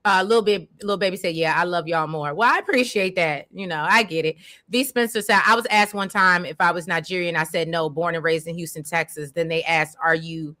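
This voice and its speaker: A woman's voice: on the low side, bright, forceful tone. A woman co-hosting a casual recap show, reading viewer comments aloud and chiming in agreeably. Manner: reads comments aloud, agreeable, quick affirmations.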